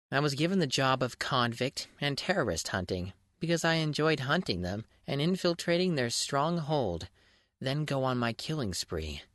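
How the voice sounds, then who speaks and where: Male audiobook narrator, theatrical and mock-grand, highly animated intonation, dry recording. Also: no echo. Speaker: fan fiction audiobook narrator. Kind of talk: dramatic scripted first-person narration.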